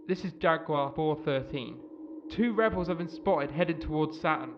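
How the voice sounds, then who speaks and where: A man acts a military patrol pilot in a sci-fi drama. His fairly high voice is clipped and formal, heard through a muffled radio link with slight noise. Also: moderate pace, lightly acted. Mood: businesslike. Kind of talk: audio drama acting. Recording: dull lo-fi radio transmission, faint noise.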